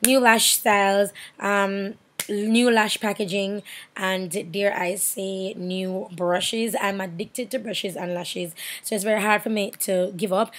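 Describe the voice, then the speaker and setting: A hoarse young woman with a Jamaican accent, rambling casually with many fillers in a makeup tutorial voiceover. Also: mid-range voice, steady tone, slow pace, fairly bright tone. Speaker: makeup artist.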